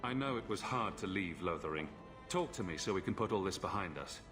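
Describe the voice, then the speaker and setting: A confident adventurer in a video game, reassuring and persuasive with a wry streak. His voice sits a bit low but moves expressively. Music plays clearly behind it. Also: animated, brisk. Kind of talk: dramatic video game acting.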